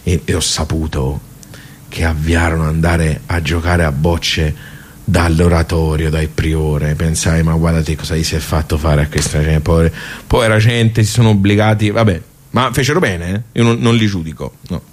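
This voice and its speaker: A male actor plays a garrulous Tuscan man, a regular at the local social club, in a theatrical radio monologue. His voice sits low, and he rambles in homely colloquial speech, wry and rueful, putting questions and answering them himself. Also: normal speed, slight background noise, natural voice. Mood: rueful, indignant. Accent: Tuscan Italian.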